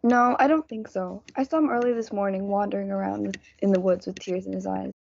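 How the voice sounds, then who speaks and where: A woman's voice: medium pitch, fairly even intonation, a little fast, vocal fry, darkish tone, slight background noise, natural voice. A female student performer playing a friendly, practical young man who keeps the peace in a reader's theatre play. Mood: concerned, curious. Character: young male Montague, friendly peacemaking cousin, coaxing and practical. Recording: dull, muffled lo-fi audio.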